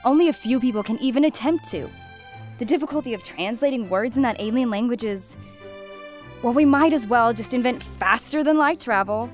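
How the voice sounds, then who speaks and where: A woman's voice: on the high side, lively intonation, brisk, soft background music, close to an everyday voice. Woman acting as blunt, skeptical spaceship crew member. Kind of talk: science fiction audio drama acting.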